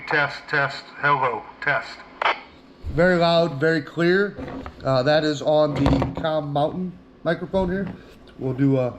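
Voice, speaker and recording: A man's voice: fairly high pitch, noisy background. A middle-aged man giving a casual, plainspoken product demonstration as an outdoor gear reviewer, often repeating himself for emphasis.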